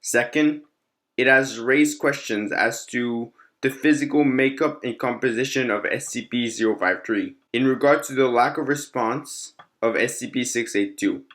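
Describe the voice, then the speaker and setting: Male narrator, mid-range pitch, formal and measured, for scripted documentary-style fictional file readings. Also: fairly bright tone.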